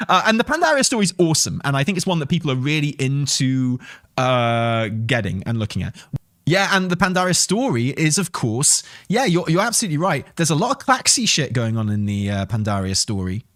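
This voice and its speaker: A man's voice: mid-range voice, sing-song, fairly quick, fairly bright tone, no echo, clean studio sound. A man talking casually as a gaming stream host, rambling and enthusiastic, with some swearing. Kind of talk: casual livestream chat and commentary on game news.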